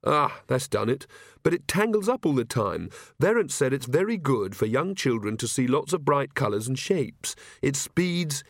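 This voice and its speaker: A man's voice: medium pitch, very animated, moderate pace, no echo, clean studio sound. A man voicing an earnest, bossy young queen and new mother who is easily indignant, in a storybook reading.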